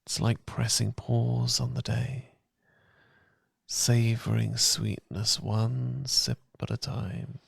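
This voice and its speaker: Breathy, gravelly British man murmuring slow ASMR. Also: mid-range voice, level tone, no echo, studio quality. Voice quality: soft, breathy, husky, often whispered. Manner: gentle, tender, unhurried, soothing. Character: loving, soothing boyfriend comforting his partner. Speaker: ASMR audio performer. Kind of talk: ASMR, slow romantic guided relaxation. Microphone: close microphone, dry.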